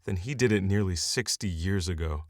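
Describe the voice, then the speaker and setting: An actor playing a steady, loyal male scientist in a naturalistic audio drama. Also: low voice, moderate pace, very noisy, close to an everyday voice. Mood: grave. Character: steady, loyal scientist colleague, grounded and persistent.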